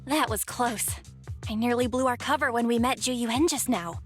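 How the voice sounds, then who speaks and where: Game role: actress as a friendly, careful young woman, slightly high voice. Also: normal speed, faint music behind, lightly acted. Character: cautious young female shop manager.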